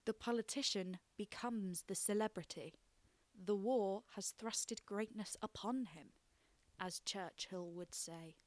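A woman reading her own political essay aloud as scripted narration, measured and explanatory. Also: mid-range voice, no room sound.

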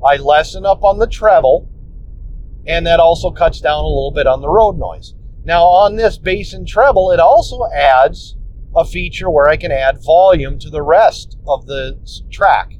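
A man's voice: moderate pace, darkish tone. A man hosting a podcast, casually explaining how he edits his episodes, rambling with restarts and mild swearing, recorded on a phone in a car. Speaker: podcast host. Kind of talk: casual instructional talk. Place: inside a car on the road. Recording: muffled phone recording with road noise. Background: road rumble.

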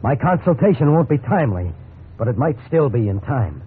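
A man's voice: mid-range voice, sing-song, fairly quick, over loud music, lightly acted. An actor playing a distraught, self-blaming working family man in a 1950s radio drama, rueful and hesitant under strain. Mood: resolute. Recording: noisy, tinny 1950s radio recording.

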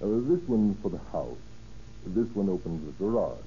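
An actor playing a polite, fidgety landlord with a hesitant, apologetic manner in an old-time radio drama. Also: male voice, low voice, very animated, moderate pace, mellow. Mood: nervous, apologetic. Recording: dull, noisy old radio recording.

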